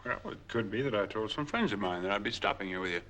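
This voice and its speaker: An actor playing a smooth-talking American drifter in 1940s film noir style, glib, wry and persuasive. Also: male voice, fairly low pitch, lively intonation, quick pace, fairly bright tone. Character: smooth-talking male drifter angling to claim money. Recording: noisy old 1940s film soundtrack.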